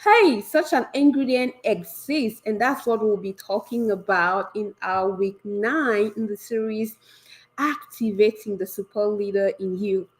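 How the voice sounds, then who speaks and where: A woman coach leads a live session with eager, encouraging energy. Her voice is a bit raspy from a cold coming on. She speaks at a middle pitch and peppers her talk with questions. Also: measured pace, poor audio. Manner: energetic, warm and encouraging, asks many questions. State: developing a cold. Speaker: leadership coach hosting a live series.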